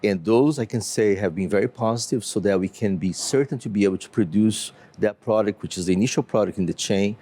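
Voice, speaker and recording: A man from Brazil with a Brazilian accent, a company CEO explaining his business in an interview in a measured way with frequent fillers. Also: lowish voice, mellow.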